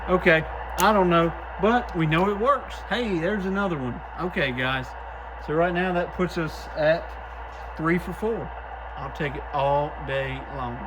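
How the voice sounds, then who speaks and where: Higher-voiced man, casual and folksy. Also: a little slow, background noise. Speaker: host, CB radio shop technician testing used radios. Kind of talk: casual hands-on product testing commentary.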